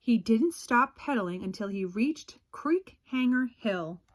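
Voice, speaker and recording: Slow, dramatic woman reading a storybook aloud. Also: on the high side, lively intonation. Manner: dramatic, with drawn-out suspenseful phrases. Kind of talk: expressive children's read-aloud narration.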